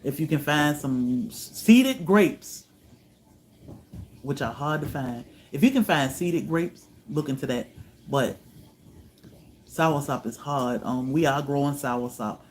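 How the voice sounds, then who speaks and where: A man from Virginia, hosting a homestead livestream, chatting with viewers in a relaxed way, often letting his voice trail off softly. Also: on the high side, slow pace, slight background noise. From Virginia, USA. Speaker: homestead channel host. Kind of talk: livestream chat answering viewers.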